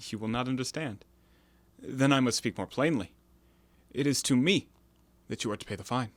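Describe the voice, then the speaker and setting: A man acting in an audio play as a bitter, sly ex-convict forger, speaking in a theatrical, insinuating, deliberate way. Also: medium pitch, no room sound, natural voice. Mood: menacing, insistent.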